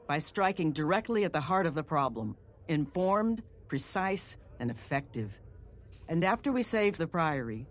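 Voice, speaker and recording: An actor playing a confident male assassin of a secret order in a fantasy video game, deliberate and coolly eager. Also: on the high side, close to an everyday voice. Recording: prominent music behind the voice.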